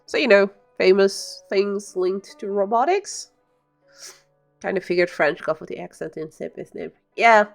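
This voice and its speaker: A woman's voice: medium pitch, unhurried, creaky, soft background music. A woman from the part of Belgium, chatting casually as a game streamer and rambling through explanations. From Belgium, Flemish-speaking part. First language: Dutch (Flemish). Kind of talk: casual live-stream commentary.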